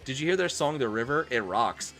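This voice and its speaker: A man's voice: medium pitch, lively intonation, brisk, fairly bright tone, soft background music. Man playing an angsty teenage goth vampire boy. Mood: excited. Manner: dramatic, snarky.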